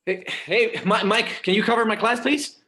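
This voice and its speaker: An anxious schoolteacher son played by a man, with a high male voice. He stammers and repeats himself, earnest and easily exasperated, in a script read. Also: moderate pace, pushed and bright. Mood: agitated. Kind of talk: screenplay table read.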